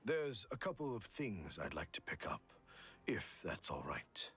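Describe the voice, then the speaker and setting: An actor playing a calm, courteous old martial artist in a video game, speaking in a formal, measured way. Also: male voice, low voice, full character voice. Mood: composed. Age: older. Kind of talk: scripted game voice acting.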